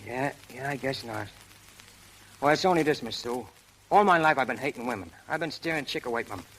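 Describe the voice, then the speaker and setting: An actor playing a brash, hot-tempered but lovestruck prizefight manager, talking in rough street slang in 1930s radio style. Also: male voice, medium pitch, animated, brisk, fairly bright tone, poor audio. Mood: bashful, dejected. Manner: tough, slangy, ungrammatical street talk. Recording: old 1930s radio broadcast recording.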